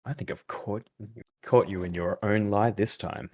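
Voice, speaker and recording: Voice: deep voice, normal speed. A person voicing an earnest young male defense attorney, dramatic and emphatic, in a live fan dub of a courtroom game. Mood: confident.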